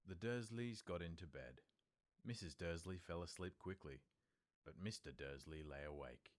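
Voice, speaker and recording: A man reading an audiobook as its narrator, in scripted storytelling style. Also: sits low.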